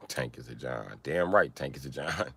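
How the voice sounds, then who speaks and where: A man hosting a casual livestream, teasing and swearing as he banters with viewers in an animated, exaggerated way. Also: moderate pace, husky. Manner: teasing, profane mockery; animated, breaks into high-pitched exaggerated mimicry. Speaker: livestream host chatting with viewers.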